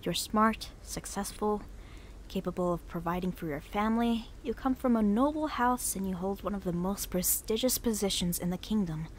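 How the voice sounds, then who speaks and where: A woman playing a dignified young pony princess in an audio drama, reserved and resigned, with measured speech. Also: mid-range voice, slight background noise, close to an everyday voice. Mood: cool, measured. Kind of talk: fan audio drama acting.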